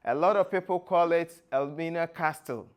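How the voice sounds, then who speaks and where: A man from Ghana with a Ghanaian accent, giving a presentation in a deliberate, explanatory way, phrase by phrase. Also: a little high. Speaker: guest speaker, former tour guide. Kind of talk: presentation to an audience with slides.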